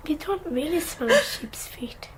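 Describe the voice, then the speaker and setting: A woman's voice: high voice, animated, a little slow, whispered. A performer voicing an earnest, stubborn, dreamy young boy in a radio drama, naive and insistent, with a North East English accent. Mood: quiet, curious. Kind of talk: naturalistic 1990s radio drama acting.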